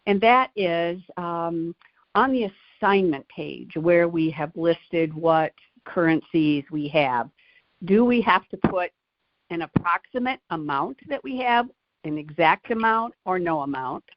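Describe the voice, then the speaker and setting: A woman's voice: fairly low pitch, animated, unhurried, dry recording. A middle-aged or older woman from Michigan, a caller politely asking questions in a conversational style. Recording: dull lo-fi phone call audio.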